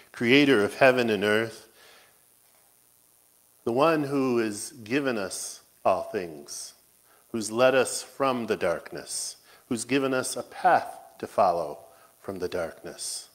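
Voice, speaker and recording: A man serving as pastor, reciting a communion liturgy in a measured, solemn way in a church. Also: mid-range voice. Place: church sanctuary.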